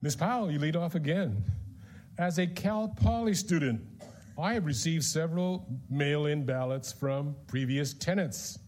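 A man's voice: a little high, unhurried, darkish tone. A man moderating a debate, asking questions briefly and formally.

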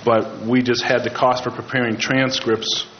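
A man's voice: mid-range voice, little pitch movement, moderate pace. Man questioning at a meeting, muffled audio. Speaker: board member asking questions. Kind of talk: informal questioning at a public meeting. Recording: muffled, lightly noisy meeting recording.

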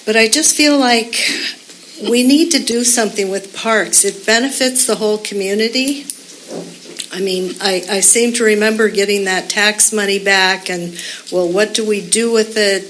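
A woman speaks at a community meeting in an earnest, rambling way, often pausing on fillers as she makes her case. Her pitch is moderate, and a light hiss runs under her voice. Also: fairly bright tone, low-quality recording. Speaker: public commenter. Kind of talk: public comment at a community meeting.

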